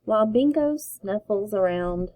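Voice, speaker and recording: A woman teacher reading a children's picture book aloud, with slow, expressive storytelling. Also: mid-range voice, very noisy.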